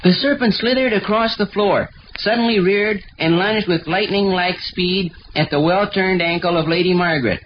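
A man's voice: high voice, moderate pace, hardly any pauses, natural voice. A male actor playing an earnest, guileless young American son who loves adventure stories, in the style of 1940s radio comedy. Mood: earnest, dramatic. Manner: solemnly reading pulp fiction aloud, guileless. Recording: muffled old radio recording, faint noise.

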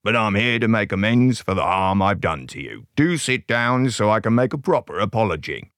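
A man voicing a big bad wolf in a storybook tale, disguised as a gentle grandma, with a slightly gravelly, croaky, coaxing and polite voice. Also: fairly low pitch, very animated, normal speed, dry recording, clean studio sound. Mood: apologetic.

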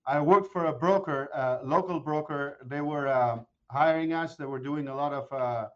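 Forties man on a remote call, lively storyteller, fairly high voice, muffled lo-fi line. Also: moderate pace. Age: 40s. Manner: animated storyteller with frequent restarts. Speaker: guest, mortgage broker. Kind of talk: casual interview chat.

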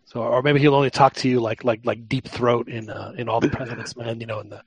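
A man hosting a casual sports podcast, halting and repeating words as he jokes around. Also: medium pitch, little pitch movement, creaky, husky, no echo, poor audio. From Texas. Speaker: podcast host.

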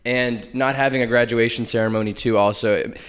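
A man's voice: medium pitch, steady tone, fairly quick, a bit bright, clear, resonant tone. A young man in his twenties from North Carolina, guesting on a podcast. He speaks in a casual, easygoing way, hedging now and then as he tells his story. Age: 20s. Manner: easygoing, hedging with "not gonna lie". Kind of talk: casual interview.

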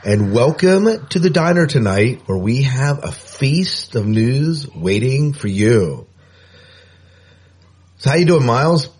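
Male podcast co-host, husky voice, chatty and upbeat, casual rambling. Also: mid-range voice, very animated, slow pace, a little mellow.

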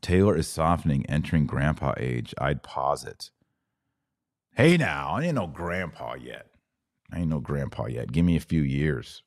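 A man's voice: very deep voice, expressive, moderate pace, no room sound, studio quality. A forty-five-year-old man hosting a casual livestream talk, answering viewer comments in a blunt, opinionated, mock-grumpy manner. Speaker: podcast host.

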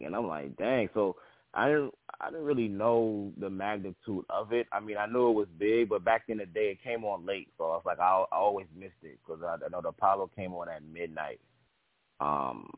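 A man's voice: sits low, dry recording. A young man from the Bronx, a guest comedian, telling stories in a laid-back, casual way. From the Bronx, New York. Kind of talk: casual interview chat. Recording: tinny phone line, dry.

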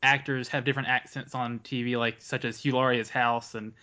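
A man's voice: medium pitch, little pitch movement, a little fast, sharp tone. A man co-hosting a podcast, asking rambling, laid-back questions. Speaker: podcast co-host. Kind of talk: casual podcast interview. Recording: dull lo-fi audio.

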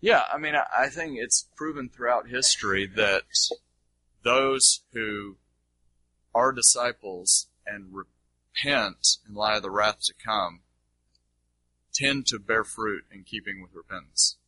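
Male teacher, very bright forceful voice, lowish pitch, dry close mic; informal, emphatic Q&A delivery with repetitions. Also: takes it slowly, no echo, poor audio. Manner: emphatic, repeats himself, checks listeners follow. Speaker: teacher taking audience questions. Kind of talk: informal question-and-answer teaching.

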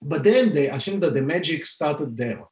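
A man's voice: fairly high pitch, expressive, moderate pace, darkish tone. A man in his forties with an Israeli accent, a scientist and founder explaining his work in a podcast interview, with many fillers and restarts. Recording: roomy echo.

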